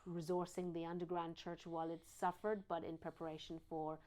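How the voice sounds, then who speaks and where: British-accent lady, fairly low voice, explains her work in tidy lists. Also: little pitch movement, fairly quick, darkish tone. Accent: British, with a slight American twang. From Iran. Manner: fluent and explanatory, lists things in series. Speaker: charity CEO, guest. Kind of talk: interview answers.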